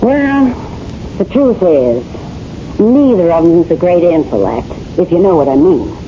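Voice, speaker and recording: A woman's voice: fairly low pitch, sing-song, a little slow. An actor playing a brassy, flirtatious wife fed up with her husband, in slangy, wisecracking 1970s radio drama style, played by a woman. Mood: dismissive, chatty. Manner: slangy, wisecracking, ungrammatical delivery. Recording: muffled, hissy old radio broadcast recording.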